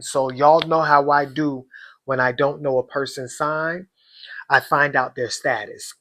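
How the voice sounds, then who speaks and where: A man with a hoarse voice, hosting an astrology reading and talking casually and directly to his viewers. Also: mid-range voice, a bit bright. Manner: slow, deliberate, talks straight to viewers. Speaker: astrology channel host.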